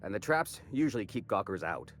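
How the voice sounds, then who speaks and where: A low-pitched, wounded frontier smuggler for a video game. He talks in a guarded, folksy drawl, winded, with a lively swing in pitch and faint music behind. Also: fairly quick. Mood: weary, helpful. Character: wounded, wary, gritty frontier smuggler man.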